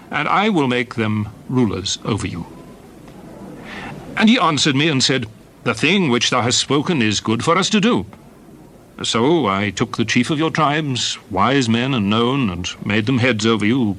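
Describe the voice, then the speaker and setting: A man playing Moses as a solemn leader addressing his people in a dramatized scripture reading, speaking in deliberate, emphatic oratory. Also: medium pitch, fairly bright tone, slight background noise. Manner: declamatory, deliberate, emphatic phrasing.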